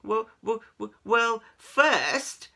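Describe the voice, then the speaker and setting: Woman playing a squeaky, stammering shy cat. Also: drawn-out words, pushed and bright, heavily acted. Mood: flustered, stammering. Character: shy, silly, flustered male cat. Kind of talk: animated storybook read-aloud.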